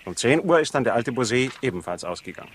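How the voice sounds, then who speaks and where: A man's voice: mid-range voice, animated, fast, lightly acted. An actor playing a wry, aggrieved British intelligence man who recounts affairs in a 1960s radio crime play. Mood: explaining, correcting. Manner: wry, opinionated, storytelling. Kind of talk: 1960s German-language radio crime drama acting. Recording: faintly noisy old radio recording.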